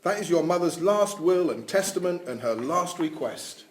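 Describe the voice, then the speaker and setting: A man's voice: high-pitched, lively intonation, moderate pace. A man playing a formal, matter-of-fact family solicitor in an audio drama reading. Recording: echoey room with noise.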